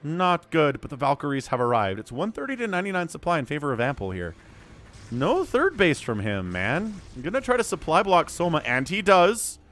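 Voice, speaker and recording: A man calling a live strategy game match solo, his voice a little hoarse and stuffy from a cold, swinging from calm analysis to loud exclamations. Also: fairly high pitch, a little fast. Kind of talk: live esports play-by-play commentary.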